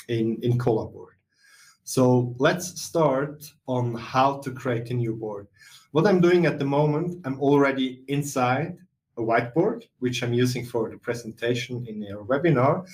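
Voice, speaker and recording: A middle-aged man with a Swiss accent, presenting a software webinar in a steady explanatory way with some fillers. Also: mid-range voice. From Switzerland. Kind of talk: webinar walkthrough.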